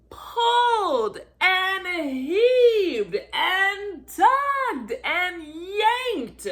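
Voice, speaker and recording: A woman with a very high, bright voice reads a children's story aloud. She is highly animated and theatrical, swinging widely in pitch. Her delivery is unhurried, with key words drawn out for emphasis. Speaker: volunteer storybook reader.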